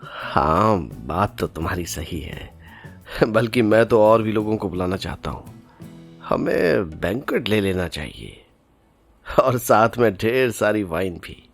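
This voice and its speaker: A man's voice: lowish voice, big pitch swings, slow pace, creaky, faint music behind, heavily acted. A man with an Indian accent playing a genial, generous older father in an audio drama. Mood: generous, festive. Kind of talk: Hindi audio drama acting.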